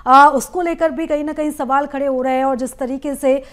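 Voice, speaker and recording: A woman's voice: sits high, steady tone, fairly quick. A woman presenting live television news as an anchor.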